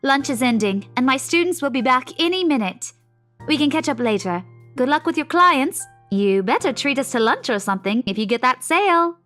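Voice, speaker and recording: A high-pitched young schoolteacher in a visual novel, motherly and caring, given to kindly nagging. Faint music plays behind her. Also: expressive, brisk, a bit bright. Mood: brisk, fond. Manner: nagging yet kind.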